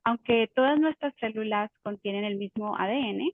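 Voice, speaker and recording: A woman's voice: on the high side, normal speed, no echo. Woman teaching on a tinny call. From Colombia. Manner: explanatory, with frequent fillers and restarts. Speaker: genetic counselor. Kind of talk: informative webinar talk. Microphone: video call.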